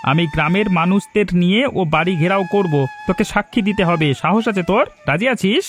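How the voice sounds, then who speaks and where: An actor voicing a stern older village uncle in a cartoon, scolding and rallying people in a quick, forceful way. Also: male voice, high-pitched, faint music behind, lightly acted. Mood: determined. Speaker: village elder. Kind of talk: cartoon voice acting.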